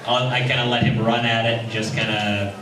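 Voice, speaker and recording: A man's voice: medium pitch, level tone, a little fast, noisy background. A man speaking casually on a convention panel in a hesitant, understated way. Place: large echoing convention panel room. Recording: echoey hall, distant mic, music behind.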